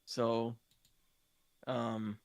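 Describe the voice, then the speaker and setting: A man's voice: medium pitch, little pitch movement, extremely slow, creaky, no echo, clean studio sound. A man telling roleplay gaming stories in a casual podcast chat. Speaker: podcast participant, game roleplay streamer.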